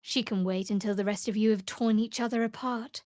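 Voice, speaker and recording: A woman playing a guarded young half-elf cleric in a fantasy video game, with a dry, sardonic, measured delivery. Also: medium pitch, fairly even intonation, darkish tone, no room sound, close to an everyday voice. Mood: reserved, earnest.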